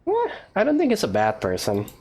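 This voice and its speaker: A woman's voice: medium pitch, huge pitch swings, fairly quick, warm tone. A woman hosting a gaming let's-play, giving casual, playful commentary as she reacts aloud to the game. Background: game music.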